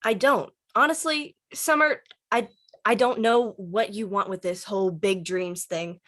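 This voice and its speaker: A woman reading the part of a young, contented, skeptical small-town child at a script table read, low-key and doubtful. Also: a little slow, fairly bright tone, dry recording, clean studio sound. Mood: earnest.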